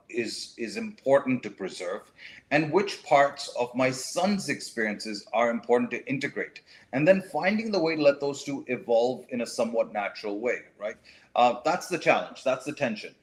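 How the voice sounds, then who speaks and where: Middle-aged guy on a talk show, measured and reflective, lots of ums and restarts. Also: medium pitch. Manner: thoughtful, measured, with frequent fillers and restarts. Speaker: guest, writer and educator.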